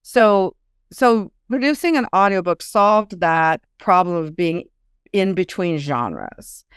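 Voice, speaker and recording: Clear-voiced woman, informal author interview. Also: lowish voice, expressive, takes it slowly, dry recording, studio quality. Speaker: guest author. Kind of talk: conversational interview answers.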